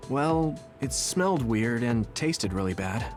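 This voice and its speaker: Expressive, earnest young male squad leader played by an actor. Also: medium pitch, unhurried, soft background music, lightly acted. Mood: reassuring. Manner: sincere, reassuring. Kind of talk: anime-style video game voice acting.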